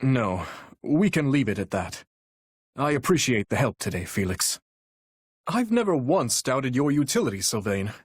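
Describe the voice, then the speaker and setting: A young warrior king for a video game, gentle and earnest yet burdened. His husky voice moves expressively through courtly, measured phrases. The sound is dry and close, without room. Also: mid-range voice, very animated, no echo. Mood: gentle, thoughtful. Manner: formal, measured, courtly diction. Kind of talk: video game character voice lines.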